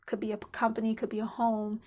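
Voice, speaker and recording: A woman's voice: fairly high pitch, completely flat delivery, quick pace, darkish tone, dull audio. A woman giving a casual pick-a-card tarot reading to camera, chatty and candid, thinking aloud as she goes. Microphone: camera mic.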